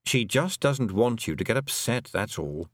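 A man voicing a small toad in a storybook reading, a dry, unruffled, deadpan adviser. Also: medium pitch, moderate pace, no room sound, close to an everyday voice.

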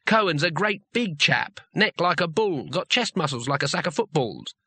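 A man voicing a nervous young wizard who talks in a quick, flustered, stumbling way. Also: fairly high pitch, sing-song, sharp tone, breathy edge, dry recording, pitched above the performer's own voice, exaggerated voice.

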